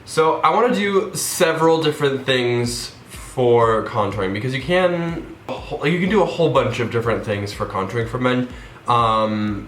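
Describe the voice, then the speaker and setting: A man's voice: medium pitch, fairly bright tone, slight background noise. A young man with a stuffed-up nose from a cold, chatting casually through a makeup tutorial with lots of fillers. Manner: chatty, rambling, many fillers. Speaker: makeup vlogger. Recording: roomy echo.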